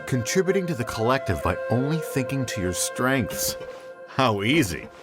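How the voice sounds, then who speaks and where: An actor playing a cool, condescending student researcher in a video game. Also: male voice, mid-range voice, big pitch swings, moderate pace, loud music behind the voice, lightly acted. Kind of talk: English video game voice acting.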